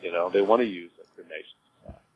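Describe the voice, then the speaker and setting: Man, deep voice, executive interviewee, halting explanations, repeated words, muffled recording. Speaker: cemetery executive being interviewed. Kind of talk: informal interview answers. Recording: dull lo-fi audio with clear noise.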